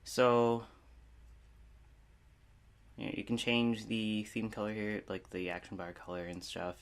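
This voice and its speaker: Casual male video reviewer, lowish voice, informal and rambling, self-deprecating, unscripted delivery. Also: flat delivery, takes it slowly. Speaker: app review video host.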